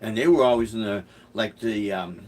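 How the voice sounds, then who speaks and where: Middle-aged man from Chicago, musician hosting a casual live stream; low-ish, halting, rambly delivery. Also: darkish tone. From Chicago, USA. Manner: rambling, hesitant, many restarts and fillers. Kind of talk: casual live-stream chat, answering viewer comments.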